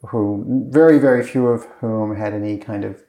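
A man's voice: on the low side, moderate pace, mellow. A man being interviewed in casual conversation, talking in a loose, rambling way with lots of fillers and restarts. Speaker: course creator being interviewed.

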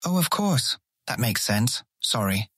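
An actor playing an earnest, awkward and easily charmed guild guard in a fantasy audio drama, eager to please. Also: male voice, medium pitch, big pitch swings, unhurried, a bit bright, husky, no echo, studio quality, exaggerated voice. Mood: flustered, apologetic.

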